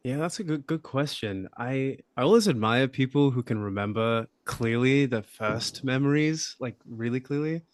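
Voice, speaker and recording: A young man from Australia, a podcast guest, talking in a relaxed, thoughtful way with lots of fillers and hedges. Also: medium pitch, moderate pace, few pauses, slight background noise. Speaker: podcast guest, app founder. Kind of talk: casual interview conversation.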